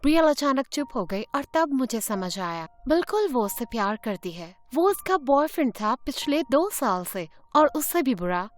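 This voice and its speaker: A young woman playing an awkward, earnest teenage schoolgirl telling her own animated story in an expressive, conversational way. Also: high-pitched, normal speed, hardly any pauses. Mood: narrating, confiding. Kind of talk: dramatised first-person animated story narration. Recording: music behind the voice.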